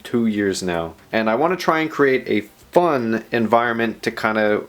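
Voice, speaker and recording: A man's voice: on the low side, animated, normal speed. A man narrating a personal vlog in an informal, unscripted, self-deprecating way, rambling as he goes. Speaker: video host.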